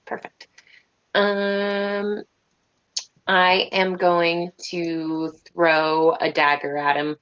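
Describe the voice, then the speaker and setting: A woman's voice: on the low side, flat delivery, drawn-out words, a bit bright, smooth. A woman chatting casually and self-deprecatingly as a player in an online tabletop game, on slightly lo-fi audio.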